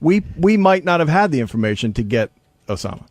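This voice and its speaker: A man's voice: on the high side, big pitch swings, a little fast, dry recording. A man in a studio discussion, giving blunt, insistent opinions and swearing freely.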